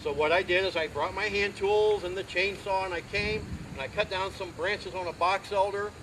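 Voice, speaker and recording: A man in his fifties with a loud, animated voice, giving a volunteer's speech outdoors at a garden ceremony. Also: high-pitched, normal speed. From Ohio. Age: 50s. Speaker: community volunteer. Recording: outdoor recording with traffic noise.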